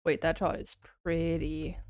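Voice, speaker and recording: A woman streamer giving casual, excited reaction commentary, gushing and swearing freely. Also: sits low, a little slow, dry recording, clean studio sound. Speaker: vtuber streamer. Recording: music behind the voice. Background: game trailer music.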